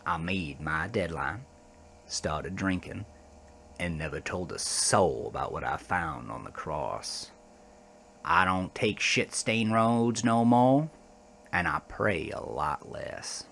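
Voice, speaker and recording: Man acting a slow-talking, shaken American trucker. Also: sits low, lively intonation, creaky, a bit bright, a little hiss or hum, heavily acted. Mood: grim, subdued. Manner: folksy, coarse, rambling storytelling. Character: shaken, defensive trucker confessing a horrifying roadside encounter. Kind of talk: dramatic first-person horror audio monologue.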